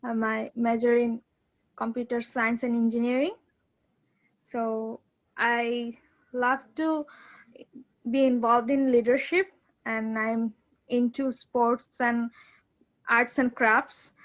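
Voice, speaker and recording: A woman in her twenties from Bangladesh, introducing herself hesitantly on a video call with many pauses. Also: fairly high pitch, flat delivery, dull audio. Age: 20s. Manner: hesitant, many fillers and restarts. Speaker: student participant.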